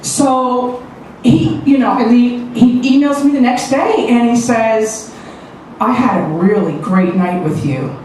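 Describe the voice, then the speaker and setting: A woman's voice: on the high side, a little slow, darkish tone. A woman performing a stand-up comedy set with a brash, punchy delivery and crude punchlines. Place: small comedy club stage. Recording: distant echoey club recording with background noise.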